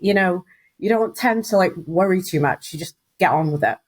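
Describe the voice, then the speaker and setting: A woman's voice: on the low side, normal speed, breathy edge. Chatty woman in her thirties. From Wales. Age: 30s. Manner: chatty storyteller, acts out quoted voices, laughs often. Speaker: guest, recruitment business founder. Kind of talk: casual interview chat.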